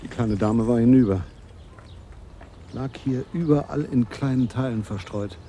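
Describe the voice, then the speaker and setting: An actor playing a blunt, excitable police constable who tells things dramatically in a German radio play. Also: male voice, medium pitch, measured pace, slight background noise, lightly acted. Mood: excited.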